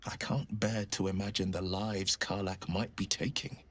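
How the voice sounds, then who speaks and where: A fairly deep male voice for a gallant folk hero in a fantasy game. He speaks earnestly, with a storyteller's theatrical flair. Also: little pitch movement, normal speed, a bit bright. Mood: earnest, solemn. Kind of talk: fantasy video game acting.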